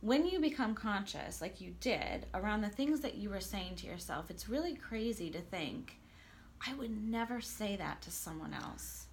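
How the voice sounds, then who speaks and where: A woman's voice: mid-range voice, animated, moderate pace, a little hiss or hum. A woman life coach speaking as an interview guest, earnest and enthusiastic, sometimes stumbling over how she starts a thought. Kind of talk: conversational interview.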